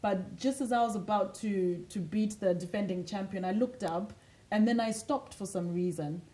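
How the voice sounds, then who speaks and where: A woman's voice: mid-range voice, moderate pace, warm tone, low-quality recording. A woman from Zimbabwe, a lifestyle coach and author, speaking earnestly and motivationally as a guest in a TV studio interview.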